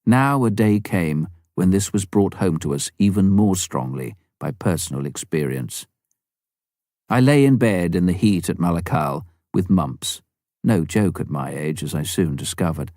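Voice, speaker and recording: Deep-voiced, expressive male audiobook narrator. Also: unhurried, no room sound, clean studio sound. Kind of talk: scripted narration of a missionary memoir.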